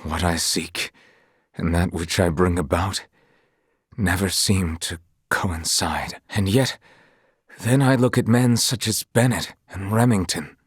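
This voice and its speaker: An actor playing an earnest, honorable young knight in a fantasy video game, speaking in formal, archaic, measured phrases. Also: male voice, low-pitched, slightly rough, no echo, studio quality, exaggerated voice. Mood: regretful, reflective. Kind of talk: dramatic video game voice acting.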